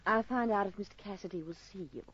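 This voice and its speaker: An actress playing a cool, teasing secretary to a racketeer in an old radio crime drama, poised and sly. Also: female voice, medium pitch, quick pace, slight background noise, close to an everyday voice. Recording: muffled old radio recording.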